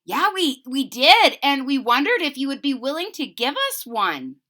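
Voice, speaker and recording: A woman's voice: sits high, sing-song, normal speed, sharp tone, voice pushed up, heavily acted. A woman reading the lines of a cheerful, adventurous old grandfather in a picture book, in a hearty storytelling manner. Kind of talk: read-aloud storybook voice.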